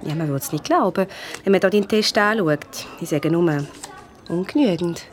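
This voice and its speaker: A woman's voice: on the low side, normal speed, a little hiss or hum, natural voice. A woman with a Swiss German accent playing a dry, sardonic biology teacher in a radio play. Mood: teasing. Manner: teasing, dry.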